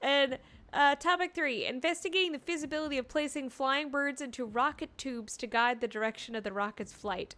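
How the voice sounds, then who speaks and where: Playful woman streamer with a bright voice. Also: high-pitched, fairly quick. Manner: playful, sarcastic, animated. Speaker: game streamer. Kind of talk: casual live gameplay commentary. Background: game music.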